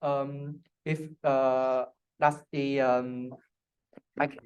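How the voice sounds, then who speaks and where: A young man who speaks English as a second language, answering interview questions casually and haltingly, searching for words. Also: medium pitch, little pitch movement, dull audio, a little hiss or hum. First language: not English. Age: young adult. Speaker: interview guest, international student.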